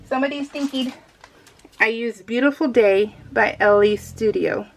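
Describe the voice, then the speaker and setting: A woman's voice: on the high side, moderate pace, poor audio. A middle-aged American woman chatting casually and informally as she narrates her craft project, in a rambling, self-deprecating way. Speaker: crafting vlogger. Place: indoors at a craft table, voiced live while working.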